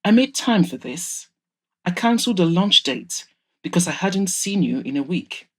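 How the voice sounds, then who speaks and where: A woman's voice: on the low side, animated, moderate pace, a bit bright, slightly rough. A woman voicing an exasperated, wealthy mother of a grown son in an audio drama, scolding with sarcasm and emphasis. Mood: sarcastic, irritated.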